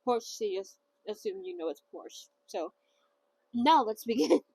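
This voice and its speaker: A woman's voice: sits high, big pitch swings, moderate pace, gravelly, a little mellow, husky, no room sound, low-quality recording. A woman hosting a casual novel read-along who rambles chattily and often trips over words. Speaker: book reader and host.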